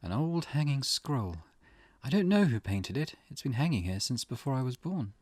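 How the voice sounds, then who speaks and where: A man voicing an aloof, withdrawn wealthy housewife in a visual novel, measured and matter-of-fact. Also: mid-range voice, big pitch swings, darkish tone, no room sound, clean studio sound, voice pushed up.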